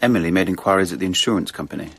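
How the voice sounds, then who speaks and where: An actor playing a solicitous, excitable Victorian gentleman in a radio drama, earnest and protective. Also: low-pitched, rapid-fire, slightly rough, exaggerated voice. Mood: concerned, grave.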